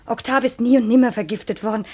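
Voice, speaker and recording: Actress as overwrought widow in love, high-pitched, husky and breathy, pleading, 1950s radio play. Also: female voice, fairly even intonation, fast, lightly acted. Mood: reassuring, uneasy. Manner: emotional, breathy, swinging between hushed and exclaiming. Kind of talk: 1950s German radio drama acting.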